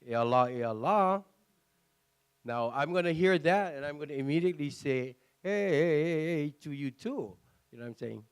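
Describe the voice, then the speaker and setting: A man's voice: fairly high pitch, animated, moderate pace, clear, resonant tone. Earnest man questioning on a panel. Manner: earnest, questioning, chants sounds to illustrate. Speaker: panel moderator. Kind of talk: panel discussion.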